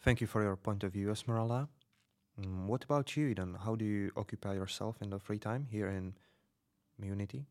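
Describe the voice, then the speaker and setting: A man playing a polite, measured radio host in a fiction podcast. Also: on the low side, darkish tone, lightly acted. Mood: courteous. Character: Nemo, courteous radio host in dystopian Paris.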